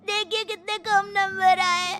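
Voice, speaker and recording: A woman's voice: very high-pitched, little pitch movement, slow, over music, voice pushed up. A woman voicing a reproachful young schoolboy who whines and complains tearfully in a cartoon story. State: crying.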